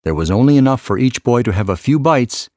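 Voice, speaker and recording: A man reading a scripted story as an audiobook narrator, with measured, expressive storytelling. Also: medium pitch, dry recording, clean studio sound.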